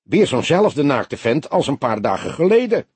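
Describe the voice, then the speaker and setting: Man as a blunt, high-pitched exclaiming ambulance worker. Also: very animated, moderate pace, a little mellow, breathy edge, no echo, full character voice. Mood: surprised. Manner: exclaiming, raised voice. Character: surprised, blunt male ambulance worker calling out.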